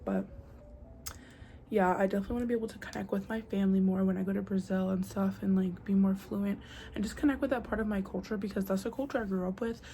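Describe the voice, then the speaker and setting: Young american woman rambling to camera, lots of likes, some fry, faint outside noise on a cheap mic. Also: medium pitch, steady tone, fast, dark tone. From United States. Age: young adult. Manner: chatty, lots of "like" and "girl", drops to a whisper at times. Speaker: vlogger. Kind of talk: casual chat to camera. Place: noisy spot with outside sound. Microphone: built-in device mic, no proper microphone. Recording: faint outdoor noise behind a built-in mic.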